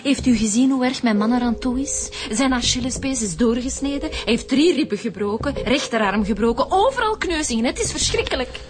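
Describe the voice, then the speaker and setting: An actress in a radio drama playing a brisk, indignant woman who manages a family business. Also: fairly high pitch, animated, close to an everyday voice. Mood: indignant, upset. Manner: businesslike, assertive, demanding.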